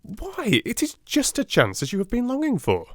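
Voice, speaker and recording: Audiobook sidekick, acted by male reader: eager, courteous gentleman, urgent manner, raised and swooping pitch. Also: normal speed, dry recording, studio quality, heavily acted. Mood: urgent surprise. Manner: urgent, polite, excitable. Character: earnest, eager gentleman companion to a detective. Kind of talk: dramatised audiobook character reading.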